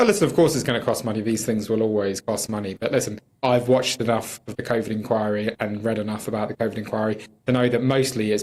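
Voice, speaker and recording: British man, political interview guest. Very quick delivery, critical and emphatic, fluent arguer. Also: fairly low pitch, darkish tone. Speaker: campaigner, studio guest.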